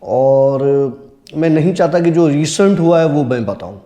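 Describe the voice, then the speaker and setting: A man's voice: fairly high pitch, lively intonation, unhurried, warm tone, slight background noise. A man telling personal stories in conversation, earnest and serious. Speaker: storyteller guest on a horror story show. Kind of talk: casual conversational storytelling.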